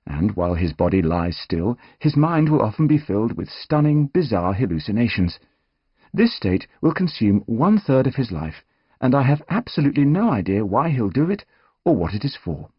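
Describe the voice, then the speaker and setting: A man voicing a reassuring female doctor in an audiobook, speaking deliberately and politely matter-of-fact. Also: mid-range voice, very animated, breathy edge, no room sound, highs cut off. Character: reassuring female doctor, calmly delivering strange news.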